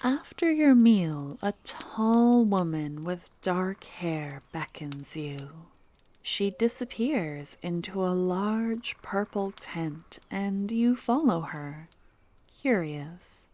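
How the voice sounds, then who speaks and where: A woman who makes ASMR roleplays, narrating a story in a hushed voice that partly drops into whispers. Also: fairly low pitch, lively intonation, slow.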